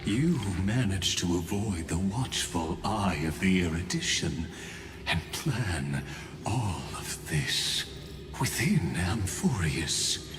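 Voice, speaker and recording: An actor playing a grave, aloof intellectual in a video game, speaking in slow, deliberate, halting phrases. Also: male voice, low-pitched, very animated, exaggerated voice. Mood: grave, musing. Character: grave, aloof male genius with ponderous, measured temper. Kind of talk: dramatic video game dialogue. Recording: echoing voice under music.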